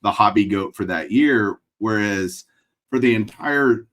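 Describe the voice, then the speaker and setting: Deep-voiced Texan man on a video call. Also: unhurried. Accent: Texas. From Texas. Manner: relaxed, dry humor, thinks out loud. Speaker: guest collector. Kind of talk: casual interview over video call. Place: room full of framed memorabilia. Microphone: webcam video call.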